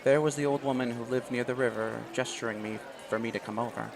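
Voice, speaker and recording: A man's voice: mid-range voice, fairly even intonation, fast talker, gravelly, noisy background. A man reading a visual novel aloud in first person, with small restarts. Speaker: let's play host.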